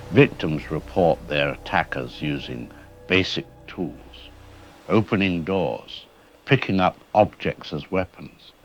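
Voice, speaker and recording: A man playing a grave, alarmed scientist, giving halting, emphatic analysis in an audio drama interview. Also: low voice, highs cut off, slight background noise. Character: grave, haunted male scientist, alarmed expert caller. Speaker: scientific analyst calling a radio show.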